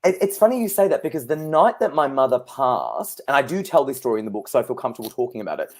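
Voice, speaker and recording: Male, 30s, mid-pitched, chatty guest telling anecdotes. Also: big pitch swings, fast. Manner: chatty, anecdotal, laughs easily. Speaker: psychic guest. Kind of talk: casual interview chat.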